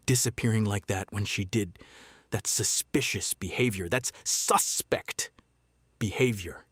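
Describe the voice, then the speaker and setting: An actor playing a guarded small-town sheriff in a noir audio drama, laconic, deadpan and measured. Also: male voice, lowish voice, breathy edge, no room sound. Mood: terse, uneasy.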